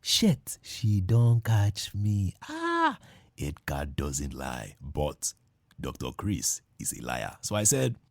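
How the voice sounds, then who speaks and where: A man, hosting a podcast and telling a comic story in a playful, animated way, acting out the dialogue. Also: sits low, takes it slowly, vocal fry, no room sound. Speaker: podcast host.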